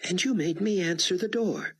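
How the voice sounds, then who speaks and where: A man voicing a tearful, apologetic young woman in an audiobook, speaking softly and in near-whispers. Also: high-pitched, moderate pace, breathy edge, voice pushed up. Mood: teasing. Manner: soft, whispery, teary. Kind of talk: audiobook character voice.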